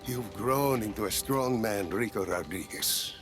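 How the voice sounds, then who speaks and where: An actor playing an older male scientist who speaks with reflective, admiring warmth. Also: lowish voice, expressive, measured pace, over music. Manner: warm praise, reflective.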